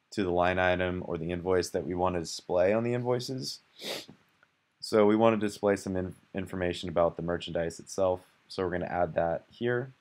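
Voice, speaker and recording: A young man casually narrating a screencast walkthrough of his software, relaxed and conversational, with fillers and self-corrections. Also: low-pitched. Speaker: software developer demoing his app.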